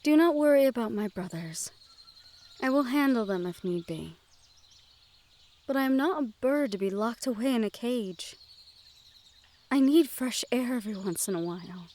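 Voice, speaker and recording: High-voiced gentle young noblewoman, acted by a woman. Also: animated, moderate pace, pauses often, lightly acted. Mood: wistful, resigned. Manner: formal speech with few contractions. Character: sheltered young noblewoman ward, gentle, wistful, quietly willful. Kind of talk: fantasy audio roleplay acting, addressed to the listener.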